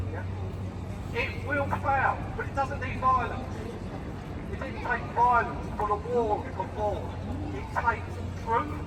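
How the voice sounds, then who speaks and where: High-voiced preachy man at a street rally. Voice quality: quiet voice. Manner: earnest, preachy, rhetorical questions. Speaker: rally speaker. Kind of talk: impromptu street rally speech. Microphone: megaphone. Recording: echoey distant street recording with crowd. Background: crowd and street noise.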